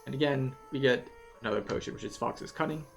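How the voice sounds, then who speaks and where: A game tour guide giving casual walkthrough commentary, explaining things in a matter-of-fact, unhurried way. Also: mid-range voice, gravelly, faint music behind.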